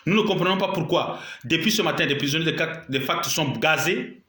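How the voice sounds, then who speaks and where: A man with a cold delivers a spoken video statement, his throat sore but his tone bright and sharp. The room around him rings with echo. He presses his points with indignation, often repeating himself for weight. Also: a little high, a little fast. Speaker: political commentator.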